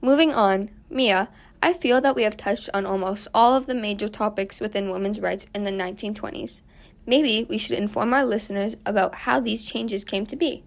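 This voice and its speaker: A woman's voice: mid-range voice, moderate pace, gravelly, lightly acted. A woman playing an earnest suffragist guest on a 1920s radio show, explaining things from a script. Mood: earnest, pleased. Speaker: guest activist.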